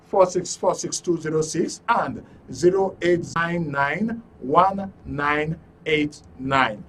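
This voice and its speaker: A man's voice: fairly high pitch, distant mic. A man with a Nigerian accent hosting a live call-in talk show on radio and TV from a studio. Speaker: radio and TV host. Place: broadcast studio.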